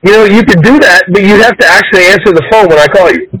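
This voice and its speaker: A man's voice: high-pitched, fast talker, fairly bright tone, poor audio. A man hosting a casual podcast, teasing and goading his guest with mock indignation. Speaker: podcast host. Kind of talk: casual bantering interview.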